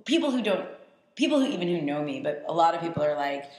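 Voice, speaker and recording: A woman's voice: fairly low pitch, big pitch swings, fast talker, darkish tone. A woman hosting a podcast, interviewing her guest frankly and telling stories with animation. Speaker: podcast host. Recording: roomy echo.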